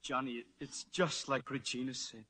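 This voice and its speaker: Eager male supporter, bright voice. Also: medium pitch, steady tone, normal speed, slightly rough, in a large hall. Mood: admiring. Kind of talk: 1940s radio drama acting. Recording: 1940s radio broadcast, music behind.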